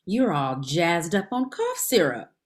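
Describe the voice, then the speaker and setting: A woman's voice: on the low side, sing-song, unhurried. A woman playing a sassy, blunt, man-hungry best friend in a comedy table read, brassy and emphatic. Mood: teasing, blunt.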